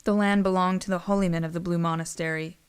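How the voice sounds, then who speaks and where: A woman's voice: on the low side, steady tone, fast, smooth. A woman narrating a scripted audio story.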